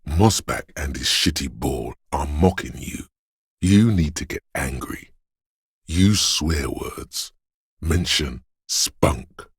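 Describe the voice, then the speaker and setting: An actor voicing a video game character, a paranoid, aggressive inner voice of fight-or-flight instinct, speaking in an ominous, raspy, urging way. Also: male voice, expressive, dry recording, clean studio sound, full character voice. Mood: aggressive, goading. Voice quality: very deep, gravelly, raspy. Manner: slow, deliberate, ominous urging.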